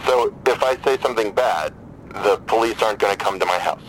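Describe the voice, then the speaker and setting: Male caller role, acted: lowish voice, hesitant, suspicious, slightly drunk, radio-play phone call with vehicle noise. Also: close to an everyday voice. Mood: hesitant, wary. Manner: halting, hesitant. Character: wary, troubled male crisis caller who has been drinking. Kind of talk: audio drama phone dialogue.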